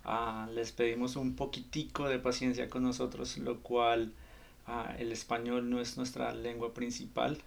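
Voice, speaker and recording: A man's voice: medium pitch, flat delivery, unhurried, vocal fry. A man in his early thirties from Colombia, with a Colombian accent, talking casually as a podcast co-host.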